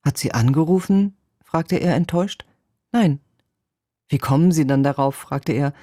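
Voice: medium pitch, mellow, dry recording. A reader performing a literary excerpt with quoted dialogue in a dry, understated way. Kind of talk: literary reading.

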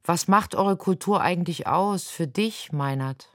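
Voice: mid-range voice, measured pace, no room sound. Interviewer, direct questions, dry close recording.

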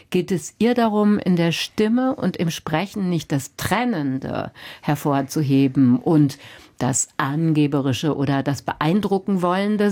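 Brief: female book critic, lowish voice, thoughtful, explanatory radio talk. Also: darkish tone.

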